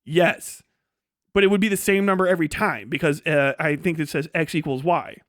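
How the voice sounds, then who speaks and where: A man with a notably high voice talks off the cuff as a livestream host. He is blunt and sarcastic and swears freely. The sound is dry and close, with very little room in it. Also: a little fast, a bit bright, dry recording. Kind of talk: casual livestream commentary.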